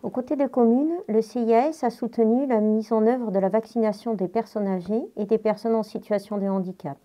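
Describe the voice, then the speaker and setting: A woman's voice: on the high side, fairly even intonation, brisk, highs cut off. A woman reading a scripted institutional address as a local social service official, composed and formal. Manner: composed, formal read-out delivery. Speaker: local social service official giving New Year's wishes.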